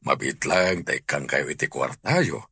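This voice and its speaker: A genial older boss with an Ilocano accent. His voice is deep, gravelly and very husky, and he takes his time in a radio comedy drama. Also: expressive, measured pace, bright, forceful tone, no room sound, studio quality, heavily acted. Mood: genial, generous.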